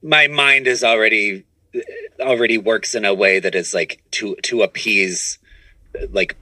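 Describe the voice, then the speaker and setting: Bright-voiced man, halting podcast guest. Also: on the low side, dry recording, poor audio. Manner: animated, halting, repeats and restarts phrases. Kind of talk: casual conversational interview answers.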